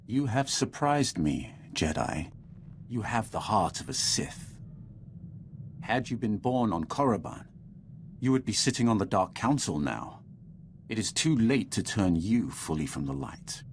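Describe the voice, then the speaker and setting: A man playing a proud, stern Sith lord in a video game, speaking in a formal, grandiose and deliberate manner. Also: on the low side, very animated, a little hiss or hum. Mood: grave, approving. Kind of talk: video game dialogue acting.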